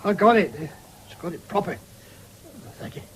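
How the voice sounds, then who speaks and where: Rough old country tramp, rural english accent, mumbly, kinda high voice, old radio drama w/ slight noise. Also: male voice, unhurried, fairly bright tone, poor audio. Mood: weary, grumbling. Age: older. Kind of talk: 1970s British radio drama acting. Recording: faintly hissy old radio broadcast.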